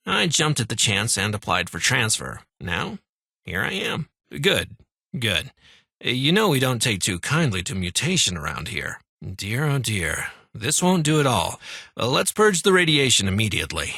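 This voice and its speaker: An actor playing a courteous, fussy military clinic doctor in a post-apocalyptic video game, with polite, formal bedside patter. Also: male voice, lowish voice, sing-song, normal speed, gravelly, sharp tone, husky, dry recording, studio quality, heavily acted. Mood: reflective. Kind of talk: scripted video game dialogue.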